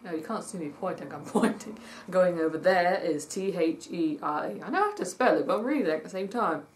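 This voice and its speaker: A woman vlogging with a husky voice that has not warmed up yet, chatting in a rambling way, reading points from a list and spelling words out letter by letter. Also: lowish voice, lively intonation, brisk. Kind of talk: casual vlog, reading points from a list and commenting. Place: at home by day, with traffic outside. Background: faint traffic.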